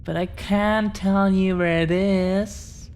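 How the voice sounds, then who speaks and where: Woman playing a very slow-talking, teasing dragon girlfriend. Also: mid-range voice, fairly even intonation, a little mellow. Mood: coy, confiding. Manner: slow, unhurried, teasing, with long pauses. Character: affectionate, proud dragon-blooded girlfriend bragging about her treasure. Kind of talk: intimate audio roleplay monologue. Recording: clear background noise.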